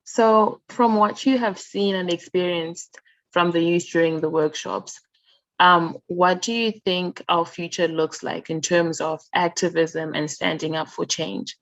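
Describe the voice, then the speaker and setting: A woman moderates a panel over a video call, politely thanking each speaker before asking the next question. Her voice is a little low. The audio is dull and dry, like lo-fi call sound. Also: steady tone, measured pace, no echo.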